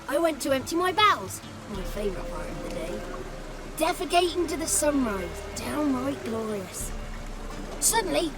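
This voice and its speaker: A mischievous little godling boy from a fantasy game, high-voiced and swooping widely in pitch. He is theatrical and wordy, relishing every florid phrase, with music playing underneath. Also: low-quality recording. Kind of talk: video game voice acting.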